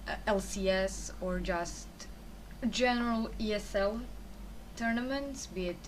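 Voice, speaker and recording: A young Croatian woman answers interview questions in a loose, rambling way, often stopping to correct herself mid-sentence. Her English carries a Croatian accent. She sounds set back from the microphone, with a steady noise behind her. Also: mid-range voice, a little slow, far from the mic, rough recording. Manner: rambling, self-correcting, chatty. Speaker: esports caster, interview guest.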